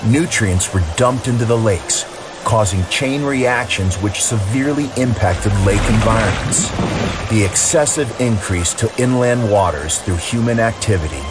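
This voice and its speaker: A man's voice: fairly low pitch, lively intonation, measured pace, background noise. A man narrating a scripted history documentary.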